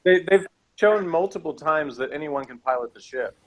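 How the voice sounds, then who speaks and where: A man's voice: on the high side, lively intonation, moderate pace, fairly bright tone. A man in a casual review chat, rambling and hedging as he argues his points as a co-host. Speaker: podcast co-host reviewing TV episodes.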